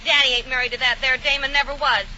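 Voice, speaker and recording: An actress playing a brassy, slangy, scorned secretary with a tough moll's tongue, in 1940s radio comedy style. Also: female voice, high voice, steady tone, fast talker, natural voice. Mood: smug, spiteful. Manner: sharp-tongued, ungrammatical tough talk. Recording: muffled, noisy 1940 radio broadcast.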